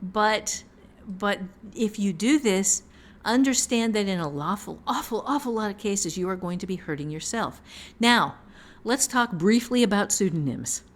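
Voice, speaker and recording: A woman's voice: medium pitch, lively intonation, a little slow. Frank woman chatting on a podcast. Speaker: podcast host and fiction writer. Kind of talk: casual podcast conversation.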